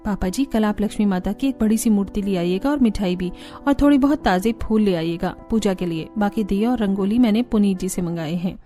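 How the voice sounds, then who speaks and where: A woman's voice: medium pitch, a little fast, warm tone, faint music behind, natural voice. A woman voicing a cheerful, dutiful young daughter-in-law in a Hindi cartoon story. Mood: helpful.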